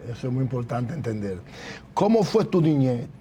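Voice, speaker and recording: A pastor with a mild, mellow voice hosts a church testimony broadcast, speaking formally and in a preacherly way. Faint background noise sits under his voice. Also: mid-range voice, sing-song, moderate pace. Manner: preacherly, formal, interjects praise. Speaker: pastor and program host. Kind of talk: church program interview. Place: church building.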